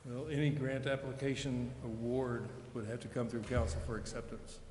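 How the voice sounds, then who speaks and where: A man answers questions in an official setting, polite and matter-of-fact. His delivery is very even in pitch, and the room throws back a strong echo. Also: moderate pace. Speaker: police chief. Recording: echoey council chamber, faint noise.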